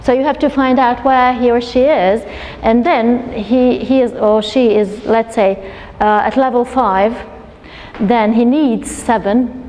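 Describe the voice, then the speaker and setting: A woman's voice: a little high, steady tone, measured pace, a little mellow. A woman, an educational consultant presenting a coursebook to teachers, explaining with frequent restarts and fillers. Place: lecture hall at a university. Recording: long hall reverb.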